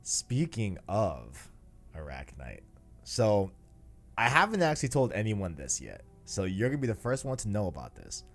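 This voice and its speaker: A man's voice: fairly low pitch, wildly swooping pitch, normal speed, soft background music. A man hosting a podcast, chatting casually about video games in slangy speech and often repeating himself as he agrees. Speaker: podcast host.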